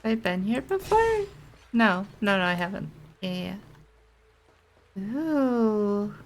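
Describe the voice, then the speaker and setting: A woman's voice: medium pitch, sing-song, takes it slowly. A woman giving casual, giggly gameplay commentary, exclaiming and reading game text aloud. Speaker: game streamer. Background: game music.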